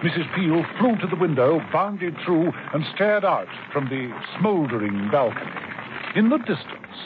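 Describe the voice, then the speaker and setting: A man narrating a radio drama in a measured, vivid storytelling manner. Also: on the high side, lively intonation, background noise. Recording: tinny old radio broadcast.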